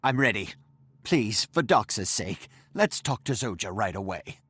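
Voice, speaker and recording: A man's voice: on the low side, very animated, measured pace, a bit bright, a little hiss or hum. A man voicing an anxious, earnest young gnomish lab assistant in a video game, pleading and deferential. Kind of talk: video game cutscene voice acting.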